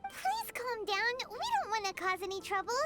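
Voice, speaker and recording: A woman's voice: extremely high pitch, sing-song, a little fast, over music. A woman voicing a small, chirpy female fairy companion who talks about herself in the third person, in video game dialogue. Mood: fretful.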